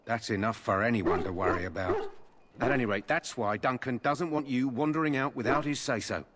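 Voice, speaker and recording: A man's voice: mid-range voice, fairly quick, background noise, close to an everyday voice. Male actor as fantasy game sentry, dutiful, talkative, matter-of-fact storyteller with a mid-range voice. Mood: chatty, foreboding. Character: gossipy, dutiful male army guard.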